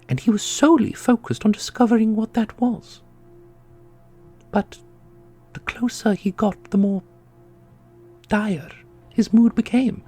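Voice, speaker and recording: A man voicing a solemn, knowing keeper of an ancient magical order in a fantasy audio roleplay, speaking in a formal, measured way. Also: extremely high pitch, mellow, husky, heavily acted. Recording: music under the voice.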